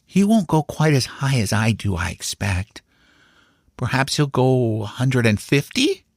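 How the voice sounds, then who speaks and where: A man's voice: medium pitch, sing-song, unhurried, creaky, dry recording, studio quality, voice pushed up, heavily acted. A man voicing a grave, earnest young boy who shares secrets in a storybook. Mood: grave, confiding. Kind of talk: storybook character dialogue.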